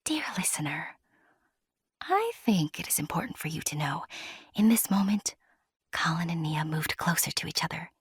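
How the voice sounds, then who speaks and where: A woman playing an intimate storyteller who confides in the listener in a breathy whisper, narrating an audio drama. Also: sits low, big pitch swings, moderate pace, no room sound, clean studio sound, full character voice. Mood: hushed, confiding.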